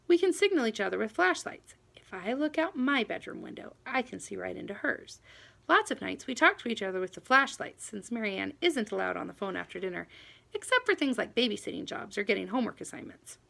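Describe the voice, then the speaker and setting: A woman reading a children's novel aloud in an expressive, animated first-person storytelling manner. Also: on the high side, vocal fry. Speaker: audiobook reader.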